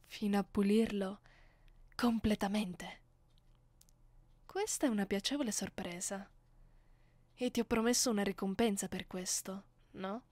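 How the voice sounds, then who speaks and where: A woman playing a haughty, seductive vampire mistress in an ASMR roleplay, speaking softly and condescendingly, close to the microphone, with an Italian accent. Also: mid-range voice, dry recording, close to an everyday voice. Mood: smugly pleased. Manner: slow, condescending, teasing, often dropping into whispers. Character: haughty, seductive female vampire mistress, commanding her captive. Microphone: close studio microphone.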